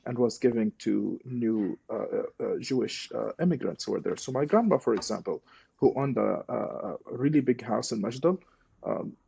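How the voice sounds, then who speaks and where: A man's voice: medium pitch, vocal fry, mellow, slightly rough. A man from Gaza giving personal testimony as a podcast guest, explaining as he goes and putting questions to himself. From Gaza, Palestine. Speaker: guest, peace initiative leader.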